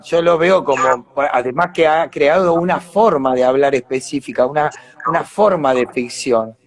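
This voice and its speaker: Argentine man with a higher-pitched voice. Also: normal speed. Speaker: actor, interview guest. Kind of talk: casual live conversation.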